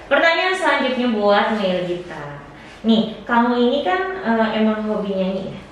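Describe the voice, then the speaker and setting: A woman interviewer from Jakarta, chatting casually and warmly affirming her guest, often calling her dear. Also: on the high side, expressive, normal speed, recorded from a distance, lo-fi sound. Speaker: interviewer host. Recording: echoey room, voice from afar, faint noise.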